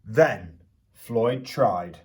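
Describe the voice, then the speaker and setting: A man reading a picture book aloud to young children as a teacher would, theatrically, pausing to put questions to them. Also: on the low side, animated, a little mellow, far from the mic. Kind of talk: expressive children's storybook reading with questions to listeners.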